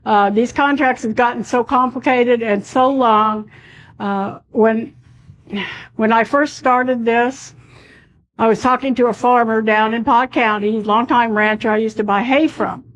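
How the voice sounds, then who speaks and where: A woman's voice: a little high, fairly even intonation, unhurried, poor audio. A woman losing her voice as she talks at a public meeting in a conversational way, full of fillers.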